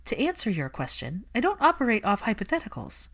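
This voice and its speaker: A woman's voice: on the high side, huge pitch swings, brisk, gravelly, a bit bright, pitched above the performer's own voice, full character voice. A woman voicing a defensive, logical young witch in a story reading, clipped and insistent. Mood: annoyed.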